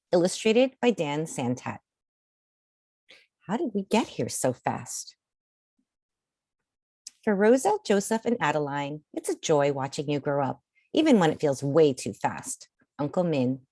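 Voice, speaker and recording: Very animated woman reading a picture book. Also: sits low, dry recording, clean studio sound. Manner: animated, theatrical, deliberate, drawing out key words. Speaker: children's picture book reader. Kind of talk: expressive read-aloud storytelling for children.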